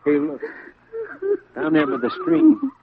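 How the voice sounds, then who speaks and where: An actor playing an earnest, deferential frontier deputy with a folksy, hesitant manner in an old radio western. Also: male voice, very high voice, huge pitch swings, slow, full character voice. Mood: urgent. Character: earnest, deferential, slightly gullible marshal's deputy, man. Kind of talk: 1950s western radio acting. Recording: muffled, noisy vintage radio recording.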